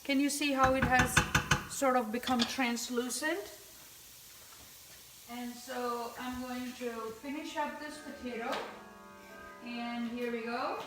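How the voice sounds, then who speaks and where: A woman's voice: high-pitched, fairly even intonation, slow pace, long pauses, over loud music. A woman from India hosting a live home cooking demonstration, chatting through each step as she stirs. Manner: chatty, explaining each step while stirring. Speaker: cooking show host. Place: home kitchen. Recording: echoey kitchen from far off.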